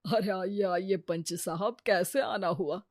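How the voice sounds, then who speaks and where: A performer voicing a mild, kindly family man in a Hindi cartoon story, soft-spoken and reasoning. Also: mid-range voice, slow pace, no room sound, clean studio sound. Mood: welcoming.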